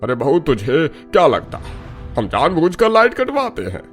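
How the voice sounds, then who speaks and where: High, swooping, grumbling village elder, played by a man. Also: wildly swooping pitch, normal speed, soft background music. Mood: folksy. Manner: rustic, chiding, colloquial. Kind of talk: Hindi cartoon story dialogue.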